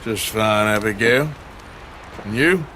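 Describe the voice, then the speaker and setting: An actor with an American accent playing a gruff, dry, sardonic outlaw gunslinger in a western video game. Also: male voice, fairly low pitch, slow pace, pushed and bright, slight background noise, low-quality recording. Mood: dry, dismissive. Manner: drawling, dry. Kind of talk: dramatic video game acting.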